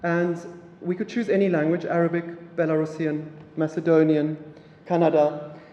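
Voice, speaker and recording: A man from South Africa, an Afrikaans speaker, giving a relaxed public lecture with halting phrasing and many fillers. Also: a little high, lo-fi sound. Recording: echoey hall, mic from afar.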